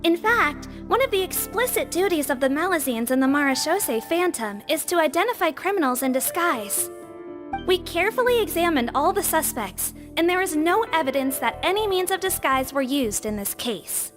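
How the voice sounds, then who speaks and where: Game creature detective: small, high, formal, no-nonsense, soft music underneath. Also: female voice, animated, normal speed. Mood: crisp, official. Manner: formal, matter-of-fact. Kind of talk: video game character acting. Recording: music behind the voice.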